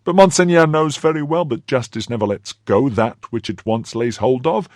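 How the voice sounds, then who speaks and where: A man's voice: mid-range voice, moderate pace, darkish tone, no room sound, clean studio sound, voice pushed up, natural voice. A man voicing a poor, fearful innkeeper who pleads and fawns in a raised voice. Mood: pleading.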